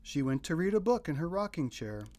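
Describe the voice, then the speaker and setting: A woman with a husky voice narrating a children's storybook aloud in an animated read-aloud style. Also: low-pitched, a little fast.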